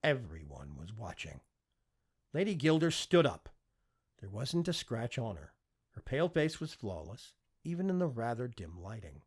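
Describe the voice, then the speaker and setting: A man's voice: mid-range voice, sing-song, normal speed, a little mellow, breathy edge. A man narrating fiction as an audiobook reader, in a dramatic, emphatic scripted style.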